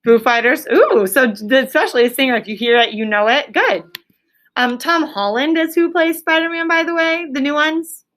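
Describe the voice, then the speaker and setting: A woman leading a classroom discussion as a teacher, in an animated, encouraging, prompting manner. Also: high voice, normal speed.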